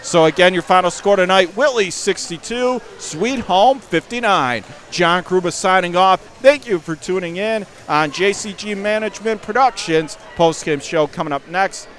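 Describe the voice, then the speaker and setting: A man doing live radio play-by-play of a high school basketball game from the gym, emphatically restating the score and clock. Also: normal speed, hardly any pauses, a bit bright. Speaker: play-by-play sports announcer.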